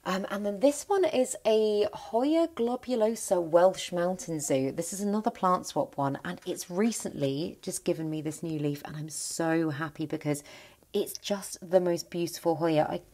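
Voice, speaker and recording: Woman, enthusiastic plant vlogger, slightly low voice, a touch croaky; casual rambling home tour on a phone. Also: normal speed, mellow. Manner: chatty, enthusiastic, rambling with restarts. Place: indoor plant cabinet at home.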